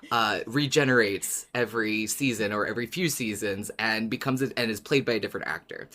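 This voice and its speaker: A podcast co-host with a medium-pitched voice in casual fan conversation. The delivery is animated and giggly, with self-corrections as the story gets told.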